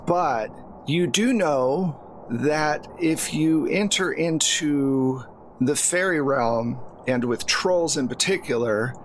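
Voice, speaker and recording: Slow-paced man narrating a tabletop game. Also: on the high side, a little hiss or hum, rough recording. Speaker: game master.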